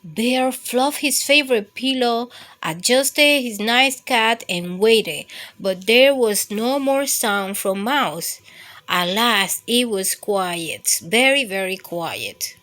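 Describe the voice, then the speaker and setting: A woman reading a children's bedtime story as an expressive narrator. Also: a little high, slow pace.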